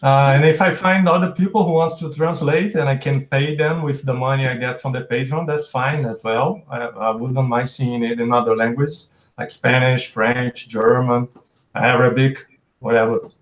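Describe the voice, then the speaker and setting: Brazilian guest, Portuguese native, chatty explainer, echoey room sound. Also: male voice, medium pitch, steady tone, moderate pace. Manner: explains at length with restarts. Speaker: podcast guest. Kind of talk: casual chat. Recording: roomy echo.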